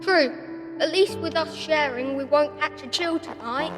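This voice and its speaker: A woman's voice: sits high, measured pace. A woman playing a kind, weary young workhouse boy in a radio drama. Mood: glum. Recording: echoey room, music behind.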